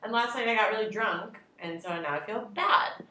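A woman's voice: medium pitch, normal speed, sharp tone, reverberant. A woman co-hosting a podcast, telling a long self-deprecating story in a casual, rambling way. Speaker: podcast co-host. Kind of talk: casual chat.

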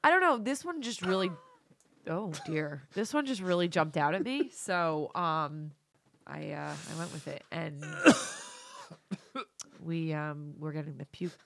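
A woman's voice: low voice, lively intonation, slow pace, vocal fry. A woman with a stuffy nose from a cold, chatting casually as a co-host with a hesitant, filler-heavy way of talking. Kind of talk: casual chat.

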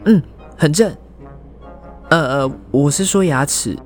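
A man's voice: fairly high pitch, slow pace, echoey room. A man playing a sweet, easily flustered young male dentist in a romantic radio drama, stammering and dreamy. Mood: eager, embarrassed. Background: prominent music.

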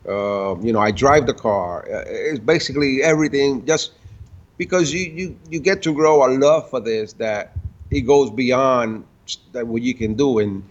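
Man, 50s, from the Dominican Republic, casual interview guest, halting restarts, mid pitch, muffled lo-fi recording. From Dominican Republic, raised in Puerto Rico. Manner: halting, many restarts, laughs between stories. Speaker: guest, car builder and tuner. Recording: dull lo-fi sound.

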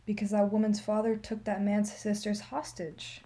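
A woman playing an elderly, wry and resolute aid worker in a radio play, speaking in measured aphorisms. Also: mid-range voice, completely flat delivery, dark tone, close to an everyday voice. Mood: sombre, resolute. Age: older.

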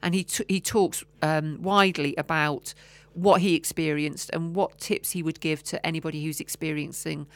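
A woman's voice: low voice, steady tone, moderate pace, hardly any pauses, no room sound. A woman co-hosting a podcast in casual chat, often trailing off with ums and restarts. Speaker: podcast co-host.

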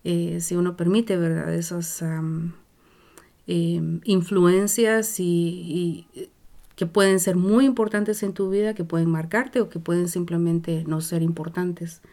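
An older Guatemalan woman with a Guatemalan Spanish accent, telling her life story as an interview guest, slowly and with many hesitations and restarts. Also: on the low side, fairly even intonation, mellow. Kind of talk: reflective personal storytelling.

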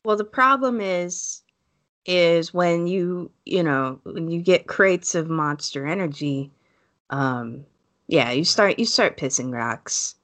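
A woman's voice: animated, slow, fairly bright tone, dry recording. A woman co-hosting a casual comedy podcast, wry and teasing in her banter. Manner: teasing, wry, with sudden high jumps of pitch. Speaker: podcast co-host.

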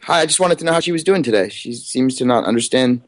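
A man's voice: mid-range voice, animated, fast talker, no room sound. Chatty man making a casual prank call. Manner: chatty, faux-friendly, rambling. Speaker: prank caller.

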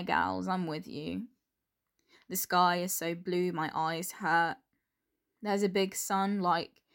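A woman's voice: on the low side, clear, resonant tone. A young woman from England introducing and reading her own poem. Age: young adult. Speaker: poet.

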